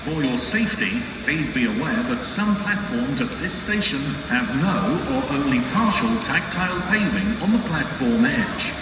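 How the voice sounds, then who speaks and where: A man's voice: mid-range voice, very animated, moderate pace, few pauses, far from the mic. Man announcing through noisy, echoing station speakers. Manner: formal, instructional. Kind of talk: scripted safety announcements. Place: train station, heard over public address. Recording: very noisy, echoey station PA from afar.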